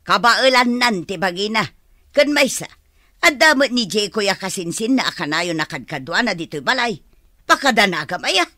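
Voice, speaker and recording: A woman's voice: medium pitch, expressive, normal speed, pushed and bright, no room sound, clean studio sound. An actress playing a brash, demanding, gambling older mother and grandmother in a radio drama. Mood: demanding, dismissive.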